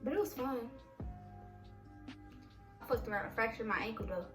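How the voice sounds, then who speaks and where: A young woman trading army stories on a vlog, animated and full of profanity. Her voice sits on the higher side and echoes around the room. Faint music plays behind her. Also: moderate pace, recorded from a distance. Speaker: vlog co-host, army veteran. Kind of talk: casual storytelling chat.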